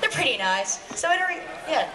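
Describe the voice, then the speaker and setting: A woman's voice: high voice, very animated, fairly quick. A woman hosting a live panel, interviewing in a casual, playful way. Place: large indoor convention hall, on stage. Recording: echoey hall, distant mic, crowd, noise.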